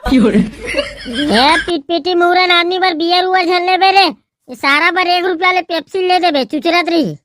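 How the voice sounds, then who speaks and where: A man's voice: very high voice, a little slow, background noise. A man voicing a hot-headed young village man in a comedy cartoon, blustering and trading insults with a Chhattisgarhi accent. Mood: teasing, boastful. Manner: blustering, insult-slinging banter. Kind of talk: comic cartoon dialogue. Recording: music bed under the dialogue.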